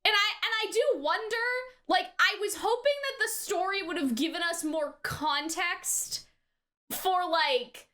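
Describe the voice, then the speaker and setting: A woman chatting casually as co-host of a reaction show, with an excitable, rambling and emphatic way of talking. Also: very high voice, a little slow. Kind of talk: casual animated discussion.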